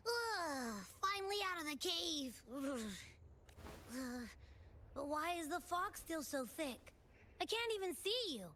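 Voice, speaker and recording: Very high-pitched cute companion girl. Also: very animated, slow pace, bright, forceful tone, a little hiss or hum, heavily acted. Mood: grumbling. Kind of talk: video game voice acting.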